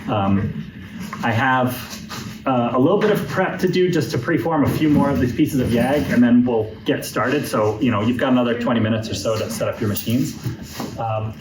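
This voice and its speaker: A man organizing a workshop, talking to the group in a relaxed way with lots of ums and uhs. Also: medium pitch, moderate pace, noisy background. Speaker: event organizer. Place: indoor workshop room. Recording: echoey room with crowd chatter.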